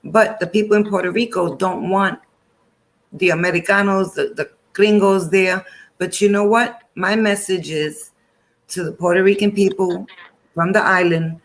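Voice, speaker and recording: A man's voice: sits high, fairly even intonation, moderate pace, a bit bright. A man hosting a casual panel chat, upbeat and encouraging, signing off with blessings. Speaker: show host.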